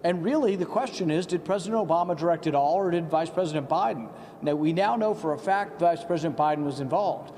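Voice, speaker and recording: A man's voice: on the high side, fast, hardly any pauses, a little mellow, big-room sound. An American man giving emphatic, accusatory political commentary.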